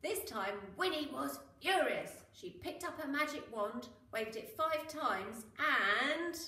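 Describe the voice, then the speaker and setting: A woman reading a children's story aloud in a dramatic, expressive way, with drawn-out emphasis on key words. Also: high-pitched. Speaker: school teacher reading to children. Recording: roomy, echoing, noisy, distant mic.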